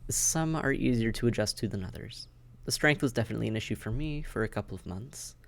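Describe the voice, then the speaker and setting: A man's voice: medium pitch, lively intonation, quick pace, gravelly. A man acting a friendly, dry-witted vampire caseworker in a conversational audio roleplay, wry, understated and matter-of-fact. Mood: amused, reassuring. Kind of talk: conversational audio roleplay, one side of a dialogue.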